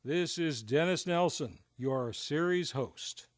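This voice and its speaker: A man's voice: fairly high pitch, lively intonation, takes it slowly, noisy background. A man hosting and narrating in a scripted, florid old-time radio announcer style. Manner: theatrical, florid presenter delivery. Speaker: series host and narrator. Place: live on stage in a theater.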